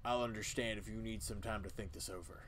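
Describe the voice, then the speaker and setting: A man's voice: fairly low pitch, steady tone, quick pace, a bit bright, slight background noise, natural voice. A man voicing a weary, brooding old small-town cult leader in a dramatic video game reading. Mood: grim, brooding. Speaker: video game player voicing all characters.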